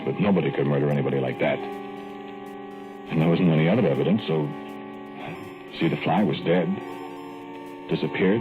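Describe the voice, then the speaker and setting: An actor playing a creepy, rambling rural man who keeps a giant fly, in 1940s radio acting. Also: rumbling low. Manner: folksy, rambling, giggling menace. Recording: tinny old radio broadcast with music.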